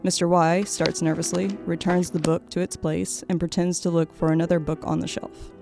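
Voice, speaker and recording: A woman's voice: fairly low pitch, level tone, normal speed, creaky, warm tone, soft background music. A woman reading scripted stage directions as the narrator of an audio play.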